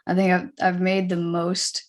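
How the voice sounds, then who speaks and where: Podcast host woman, low and encouraging. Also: completely flat delivery, moderate pace. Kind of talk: casual interview chat.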